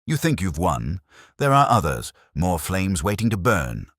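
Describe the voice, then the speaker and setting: A man's voice: low voice, big pitch swings, measured pace, a bit bright, no room sound, clean studio sound, voice pushed up, full character voice. A man voicing a defiant, sneering poisoner, taunting and theatrical, in an audio mystery story. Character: sneering, defiant male villain, a fanatical poisoner.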